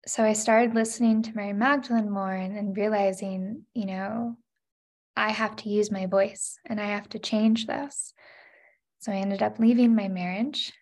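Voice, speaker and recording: A woman's voice: medium pitch, steady tone, moderate pace, a little mellow. A woman in her thirties, a podcast guest, sharing a personal story in an earnest, reflective way, with many "you know" and "like" fillers.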